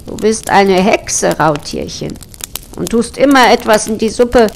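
A woman's voice: medium pitch, animated, a little slow, vocal fry, slight background noise. A woman storyteller voicing a grumbling, accusing male castle cook in a fairy tale.

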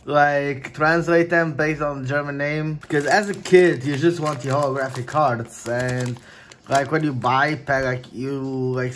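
A young man whose first language is Portuguese, chatting casually at home in his gaming room, with lots of filler words and sudden excited bursts. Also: mid-range voice. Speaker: card opening vlogger. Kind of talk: casual unboxing chat. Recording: faint crinkling and desk noise.

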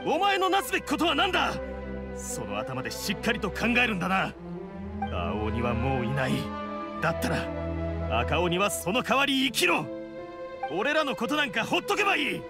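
An actor playing an embittered male oni warrior outcast in a video game, speaking with scorn and deliberate weight. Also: high-pitched, very animated, over loud music. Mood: scornful. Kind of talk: dramatic game voice acting.

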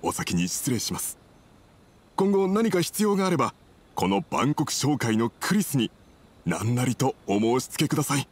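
A man's voice: medium pitch, big pitch swings, normal speed, a little hiss or hum, lightly acted. A man playing a polite, humble young merchant in an anime game, speaking formally and earnestly. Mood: earnest gratitude.

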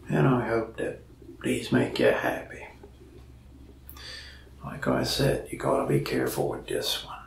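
A young man playing a lonely, friendly mask shop salesman in a soft-spoken ASMR roleplay, talking slowly and often slipping into a whisper. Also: rumbling low, sing-song, reverberant, recorded from a distance, a little hiss or hum, heavily acted. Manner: slow, soft-spoken, gravelly with frequent whispered stretches. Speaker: ASMR creator. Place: small indoor room, mask wall behind.

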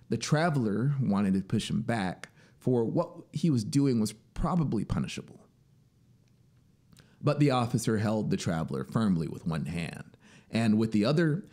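A man in his thirties reading a story as dramatic, deliberate, expressive audiobook narration, close on the mic. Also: lowish voice. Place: small home music studio, indoors. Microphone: broadcast mic on boom arm, close. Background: ambient synth soundscape noise.

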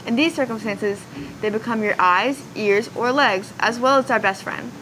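A teenage girl reads school news in an earnest, scripted way. Her voice sits a little high. Steady noise runs under the recording. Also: normal speed. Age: teens. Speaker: student news anchor. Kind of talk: school news segment reading. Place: school TV studio, indoors.

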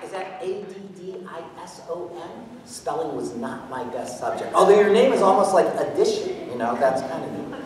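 A man's voice: fairly high pitch, lively intonation, moderate pace, over a noisy crowd. A middle-aged man presenting a maths magic act on stage in a playful, theatrical, showman-like way. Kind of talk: live stage show patter. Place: auditorium stage. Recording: echoey hall recorded from far off.

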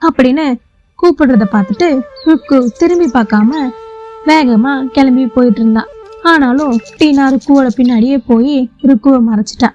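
High-voiced woman narrating a children's story. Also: moderate pace, darkish tone, highs cut off, soft background music.

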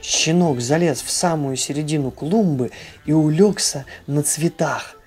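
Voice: medium pitch, measured pace, a little mellow, soft background music. A storyteller and channel host narrating a children's tale expressively.

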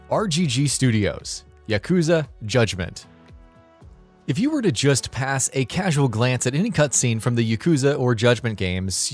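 A man reading scripted narration for a video game list show in a polished, emphatic way. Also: mid-range voice.

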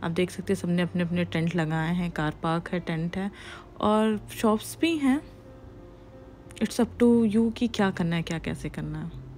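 A woman's voice: fairly low pitch, moderate pace, darkish tone. A woman in her thirties narrating her family's camping trip as a vlog voice-over, chatty and mixing in English phrases. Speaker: vlogger. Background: faint music under the narration.

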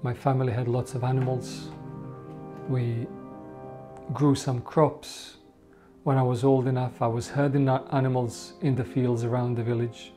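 A man from Bosnia in his forties giving calm, steady documentary interview testimony. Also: mid-range voice, moderate pace, music in the background. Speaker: genocide survivor interviewee. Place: indoor room with bookshelves.

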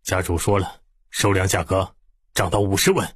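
A man's voice: sits low, fairly even intonation, normal speed, breathy edge, no room sound. A man voicing a gloomy, decisive male household steward with a curt, firm manner in an audiobook. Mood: stern.

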